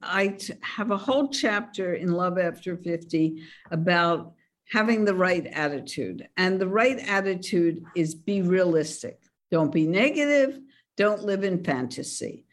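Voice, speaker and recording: A woman speaks with calm assurance, stressing her points with short, firm phrases as she answers questions. She sounds like a seasoned advice author in an interview. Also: on the low side, measured pace. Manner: confident, emphatic advice-giving. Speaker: author and dating expert guest.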